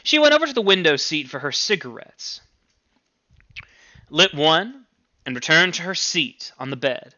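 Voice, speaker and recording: A man's voice: a little high, sing-song, measured pace, long pauses, sharp tone, no room sound, lo-fi sound. A man reading literary fiction aloud as a narrator. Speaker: teacher reading a story aloud.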